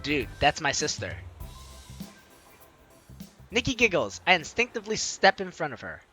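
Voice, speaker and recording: Woman, gaming host, bright tone, swooping intonation, playful hammy read-aloud, faint music behind. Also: deep voice, very animated, moderate pace. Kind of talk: animated let's play, reading game narration and dialogue aloud. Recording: background game music behind voice.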